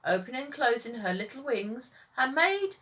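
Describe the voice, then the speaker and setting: Female storytime teacher, high-pitched and very animated, reading rhyming children's tales with a sing-song lilt. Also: normal speed.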